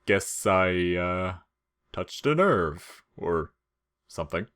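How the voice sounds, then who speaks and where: A man's voice: deep voice, animated, slow pace, fairly bright tone, exaggerated voice. A man voicing a casual, wisecracking male skeleton who teases and dodges, in a storybook reading. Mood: awkward. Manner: casual, flippant, slangy. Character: laid-back wisecracking skeleton man, teasing and evasive.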